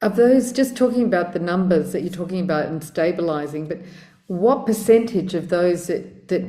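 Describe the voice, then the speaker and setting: A woman's voice: fairly low pitch, lively intonation, normal speed. A woman hosting an interview, asking questions in a hesitant, conversational way. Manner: hesitant, soft backchannel murmurs. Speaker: interview host. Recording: echoey room.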